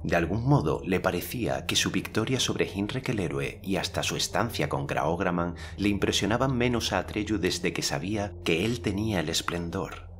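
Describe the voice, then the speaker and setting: A man narrating an audiobook in a measured, unhurried manner. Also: deep voice, expressive, a little hiss or hum. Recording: narration over clear background music.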